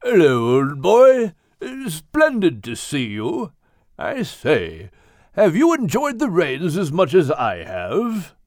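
A man doing a kindly old whale from a storybook, plummy and courteous, with lively, swooping inflection and an unhurried delivery. He sounds genteel and good-humoured, bobbing cheerfully between high and low notes. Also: no echo, studio quality, exaggerated voice. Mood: jovial. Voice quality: plummy, polite, old-fashioned. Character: genial old male whale, gentlemanly.

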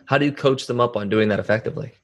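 A man's voice: medium pitch, very fast. A man speaking as a podcast host, interviewing a guest in a conversational style.

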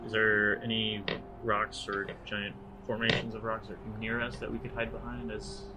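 A man's voice: lowish voice, completely flat delivery, normal speed, noisy background. A man playing a tabletop game, casually stating his character's plans. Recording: music under the voice.

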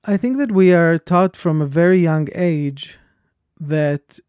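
A man with a foreign accent co-hosting a podcast, talking in an earnest and reflective way that turns animated when he tells a story. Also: on the high side, slow pace, clean tone, no echo, studio quality. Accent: foreign accent, origin unstated. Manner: earnest, thoughtful, animated when telling stories. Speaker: podcast co-host. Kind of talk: reflective conversation.

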